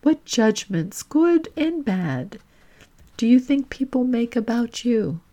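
A woman leading a guided reflection in the manner of a podcast host, gentle and deliberate, with long reflective pauses. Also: on the high side, big pitch swings.

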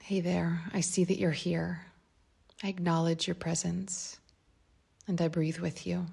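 Slow, breathy, creaky woman guiding meditation. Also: lowish voice. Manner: calm, unhurried, gentle phrasing with long pauses. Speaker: meditation guide. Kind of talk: guided meditation, slow and soft instruction.

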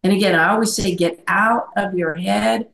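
A woman's voice: mid-range voice, moderate pace, gravelly, fairly bright tone, poor audio. A middle-aged woman who works as a life coach, talking earnestly over a video call and often checking in with "right?". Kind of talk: conversational video-call interview.

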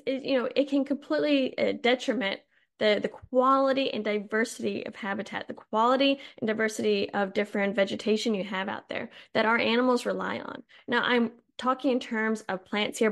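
Slightly hoarse, gravelly woman. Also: on the high side. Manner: chatty, explanatory, with asides. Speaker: podcast host. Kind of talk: casual educational talk on forestry.

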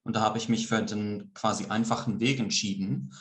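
A man with a Swiss German accent, from Greece, a public speaking coach who is a guest in a podcast interview, relaxed and sometimes searching for words. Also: fairly low pitch, moderate pace. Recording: echoey room.

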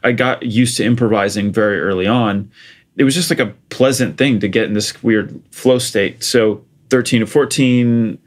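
A man's voice: on the low side, normal speed. A man in his thirties from Portugal with an American accent, a guest chatting casually about his start in music, with many "like" and "you know" fillers. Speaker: interview guest, music producer. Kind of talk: casual conversational storytelling.

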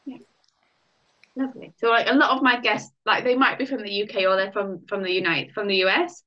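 A woman's voice: medium pitch, fairly even intonation, fairly quick. A woman hosting a podcast interview in a chatty, upbeat way, dropping in fillers like "like.". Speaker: podcast host, life coach. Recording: muffled lo-fi audio.

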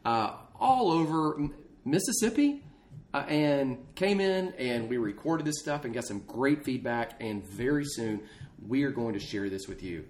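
A man's voice: medium pitch, lively intonation, measured pace, darkish tone. Folksy man co-hosting a podcast over background noise. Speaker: podcast co-host. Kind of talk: casual unscripted podcast chat. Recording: clear background noise, ambience.

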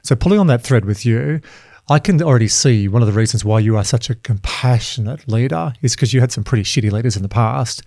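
A man hosting a podcast, coaching his guests in a chatty, upbeat way full of quick asides. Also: mid-range voice, creaky, darkish tone, no echo, studio quality. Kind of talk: casual coaching conversation.

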